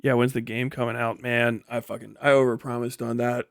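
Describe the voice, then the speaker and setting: Male streamer, mid-pitched, blunt and foul-mouthed, casual chat delivery, close dry mic. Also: flat delivery, brisk, vocal fry, dry recording. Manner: blunt, heavily profane. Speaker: livestream host and commentator. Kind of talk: casual livestream chat answering viewer messages.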